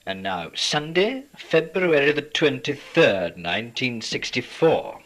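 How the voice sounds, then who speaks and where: A man's voice: medium pitch, very animated, slow pace, a bit bright. A man making a spoken date announcement on a 1960s home reel-to-reel tape recording.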